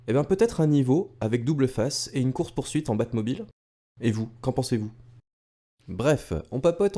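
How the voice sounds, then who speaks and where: A man's voice: medium pitch, warm tone. A man narrating a retro gaming video from a script, enthusiastic and speaking directly to viewers with casual asides. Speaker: video creator and host.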